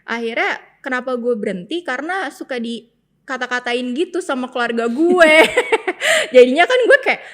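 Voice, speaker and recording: Young woman podcast cohost, kinda high voice, lively chatty stories, laughs a lot. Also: normal speed. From Indonesia. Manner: animated storytelling, laughs often. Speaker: podcast co-host. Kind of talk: casual chat. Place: podcast studio.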